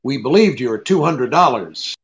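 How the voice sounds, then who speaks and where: An actor playing a shrewd, cool private detective in an old-time radio drama, wry and blunt, hesitating with the odd uh. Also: male voice, medium pitch, lively intonation, moderate pace, creaky. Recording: dull, lo-fi audio.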